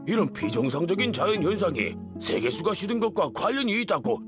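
A man's voice: high voice, big pitch swings, brisk, over music. A man voicing a polite, folksy older village chief with a Gyeongsang Korean accent in a video game. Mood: concerned.

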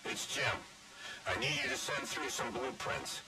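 An actor playing a gruff older police commissioner in a game, an intense, anguished father. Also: medium pitch, measured pace, slight background noise. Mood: bitter, determined. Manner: forceful, intense. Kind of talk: video game drama acting.

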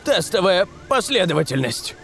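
An eccentric old male scientist, high-pitched and wildly animated. He is a fussy, theatrical cartoon inventor. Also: moderate pace, gravelly, soft background music, full character voice. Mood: tender. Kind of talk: dubbed cartoon dialogue.

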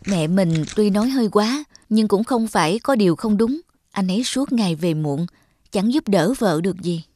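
A woman's voice: medium pitch, lively intonation, moderate pace, warm tone, a little hiss or hum, natural voice. A young woman playing a tired, earnest wife and mother in a cartoon, plain-spoken and defensive. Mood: pensive. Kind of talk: cartoon voice acting. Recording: music under the voice.

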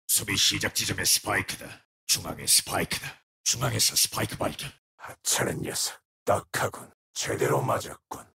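An actor playing a menacing, tormented shadow wraith in a video game, with a gravelly, breathy rasp and grave, terse delivery. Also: male voice, deep voice, pushed and bright, full character voice. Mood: cold, menacing. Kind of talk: video game character voice lines.

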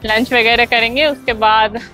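A woman in her thirties giving a chatty travel vlog tour with a lapel mic, pausing with "uh" as she explains things to viewers. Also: a little high, steady tone, bright, forceful tone, faint music behind, poor audio. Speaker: travel vlogger. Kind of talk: casual travel vlog narration. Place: outdoor theme park. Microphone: clip-on lapel mic.

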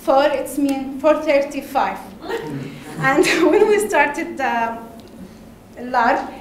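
A woman from Lebanon with an Arabic accent, giving a conference talk in halting English with hesitations and laughs. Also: high voice, animated, a crowd in the background. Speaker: conference speaker. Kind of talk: presentation talk. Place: large darkened conference hall. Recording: echoey hall, faint ambience.

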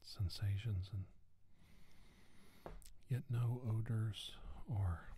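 A man playing a haunted doctor giving testimony of his abduction in a halting, deliberate ASMR whisper. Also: fairly low pitch, fairly even intonation, warm tone, a little hiss or hum. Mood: wistful, frustrated. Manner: slow, halting, deliberate, with pauses and repeated words. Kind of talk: whispered ASMR roleplay monologue.

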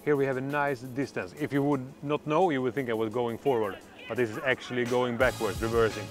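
Swedish-accented middle-aged man, mid-pitched, eager product pitch with fillers, outdoors at night, faint music behind. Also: fairly even intonation. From Sweden. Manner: enthusiastic salesman, halting with fillers. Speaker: product presenter. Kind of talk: product demonstration vlog. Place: dark countryside road at night, outdoors.

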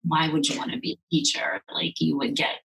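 A woman's voice: deep voice, fairly even intonation, fairly quick, gravelly, fairly bright tone, low-quality recording. A woman in her forties, raised in Ukraine with Russian as her first language, speaking thoughtfully with restarts on a video call from home. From Ukraine, then Soviet Union. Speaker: guest, sex and intimacy coach. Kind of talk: candid interview. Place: home room, indoors.

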